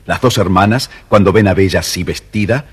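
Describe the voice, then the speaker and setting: A man narrating a scripted children's story in a measured, expressive way. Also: low voice, slightly rough.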